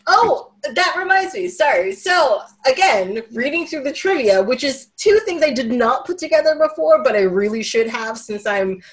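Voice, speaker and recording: A woman co-hosting a podcast, chatting casually about a movie in a rambling, animated way. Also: sits high, moderate pace, few pauses, poor audio. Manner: animated, rambling, frequent "like" and laughter. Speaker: podcast co-host.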